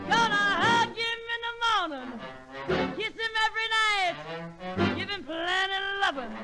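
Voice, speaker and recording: A woman's voice: extremely high pitch, lively intonation, unhurried, over loud music. A woman performing a vintage swing number, half singing and half talking, sassily addressing other women. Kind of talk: vintage swing song, sung and half-spoken. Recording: vintage swing record, loud band.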